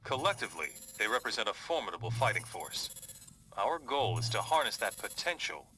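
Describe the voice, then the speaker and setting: A man playing a confident superhero leader in a game cutscene, giving measured, authoritative briefings. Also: lowish voice, sing-song, pushed and bright, rough recording. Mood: measured, resolute. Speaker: mission briefer.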